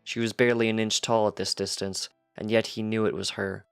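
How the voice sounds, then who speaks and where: A man's voice: lowish voice, little pitch movement, brisk, gravelly, clean tone, no echo, studio quality, soft background music. A man reading a piece of fiction aloud as scripted narration.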